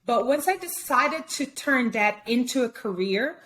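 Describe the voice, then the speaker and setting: A woman's voice: fairly high pitch, expressive, a little slow, fairly bright tone. Brazilian woman chatting, Portuguese accent. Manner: chatty, many fillers and restarts. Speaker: guest photographer. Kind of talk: casual interview chat.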